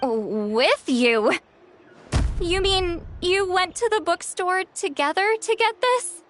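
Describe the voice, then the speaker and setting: High-voiced proud, flustered young woman. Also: slow pace, a little hiss or hum, exaggerated voice. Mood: indignant. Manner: expressive, indignant when embarrassed. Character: proud, easily flustered young woman who loves books. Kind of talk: video game voice acting.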